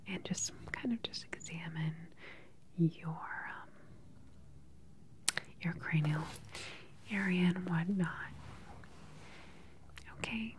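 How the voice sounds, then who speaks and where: Woman, 30s, low-pitched whisper, calm research doctor for an ASMR exam roleplay, unhurried and close-miked. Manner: soft, unhurried, clinical questioning. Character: calm, attentive government research doctor examining a patient. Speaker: ASMR performer playing a doctor. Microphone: close ASMR microphone.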